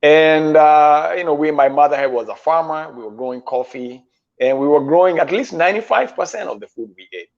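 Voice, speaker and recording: A man in his fifties from Kenya, telling his story as a guest chef in a measured, halting way, pausing often on "uh", speaking over a remote call. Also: a little high. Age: 50s. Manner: measured, halting, with frequent "uh" pauses. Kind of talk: storytelling in a stream interview. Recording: dull lo-fi stream audio.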